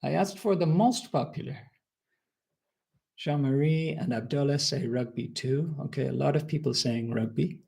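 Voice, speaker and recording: A man's voice: mid-range voice, darkish tone. A man from Ireland with an Irish accent, teaching a live online English lesson and reading out viewers' answers. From Republic of Ireland. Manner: slow, clear teacher talk, reading out viewers' comments.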